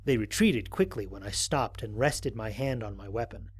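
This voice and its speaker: A man playing a curious, bemused space explorer, narrating mission logs in an expressive, musing way for an audio drama. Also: on the low side, normal speed, slightly rough. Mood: measured, reflective. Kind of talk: dramatic first-person mission log narration.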